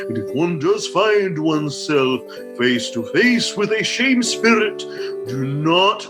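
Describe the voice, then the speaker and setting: A vain, theatrical gentleman storyteller played by a man in a comedy radio play. He speaks slowly and grandly, his fairly high male voice rising and falling dramatically over music. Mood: smug, grandiose. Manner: grandiose, theatrical, florid delivery. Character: pompous, vain gentleman storyteller with an absurdly long title.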